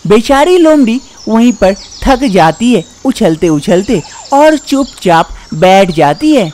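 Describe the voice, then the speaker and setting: A woman's voice: medium pitch, big pitch swings, slow, a little mellow, slight background noise. A woman narrating a scripted animal fable for children as a storyteller.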